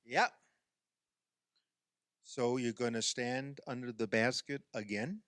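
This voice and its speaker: A man acting in a radio play as a self-pitying, sarcastic amateur ball player who speaks in an exasperated, halting way. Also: medium pitch, fairly even intonation, a bit bright, no echo, studio quality. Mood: teasing, grudging.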